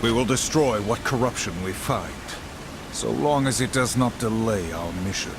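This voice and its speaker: A man's voice: medium pitch, normal speed, background noise, natural voice. An actor playing a stern, dutiful male warrior horseman in a video game, solemn and formal. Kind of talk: video game cutscene acting.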